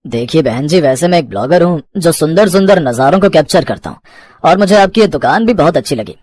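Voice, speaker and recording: A woman's voice: sits low, expressive, fairly quick, darkish tone, no room sound, voice pushed down. A woman voicing a friendly young male video blogger with a courteous manner in a cartoon story. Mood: polite.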